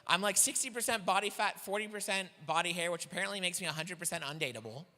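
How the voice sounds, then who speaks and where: A young man doing a stand-up set in a church hall, with a high voice for his size and a self-deprecating, animated way of telling stories. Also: fast talker. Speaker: stand-up comedian. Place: church hall with live audience. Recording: long hall reverb from a church stage.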